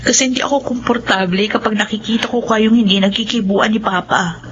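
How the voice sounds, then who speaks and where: A worried young daughter questions her mother in a soft, concerned tone, acted by a woman in a radio play. The audio is dull, with a faint hiss. Her voice sits at an ordinary pitch. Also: fairly even intonation, brisk, lightly acted. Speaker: radio drama actor.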